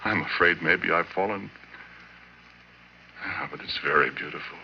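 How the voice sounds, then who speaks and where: A middle-aged roughneck haunted by what he found, confessing it with brooding obsession. The voice is very deep, the delivery halting and close. It comes from a vintage radio drama, dulled and slightly noisy. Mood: haunted, tender obsession. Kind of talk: 1940s radio drama monologue. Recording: muffled, noisy old radio recording.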